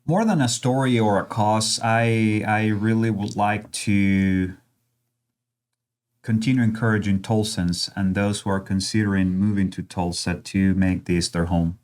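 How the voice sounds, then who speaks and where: Low-voiced earnest male guest, accented English. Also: steady tone, unhurried, a little mellow. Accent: non-native accent in English. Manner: earnest, encouraging, often checks in with "right?". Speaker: college language instructor guest. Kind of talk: conversational interview.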